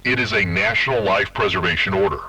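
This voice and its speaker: Stern, bright man announcing from afar. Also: low voice, fast, slightly rough, no echo, distant mic, low-quality recording. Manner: stern, commanding, official. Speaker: emergency broadcast announcer. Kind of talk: scripted emergency directive reading.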